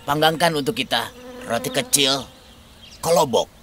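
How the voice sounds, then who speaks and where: A man playing a bossy old peasant grandfather in a cartoon, giving orders in a drawn-out way. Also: medium pitch, fairly bright tone, slight background noise, close to an everyday voice. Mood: coaxing.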